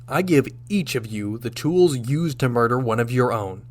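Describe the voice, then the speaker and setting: A man playing a confident, shrewd starship captain in a sci-fi radio drama, speaking with deliberate, commanding rhetorical pauses. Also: mid-range voice, expressive, noisy background, natural voice. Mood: confronting.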